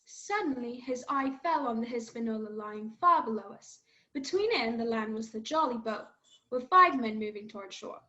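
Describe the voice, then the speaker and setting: An earnest young cabin boy voiced by a woman tells an adventure in a high, bright voice. The delivery is dramatic radio play storytelling. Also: lively intonation, normal speed, highs cut off. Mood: wary, earnest.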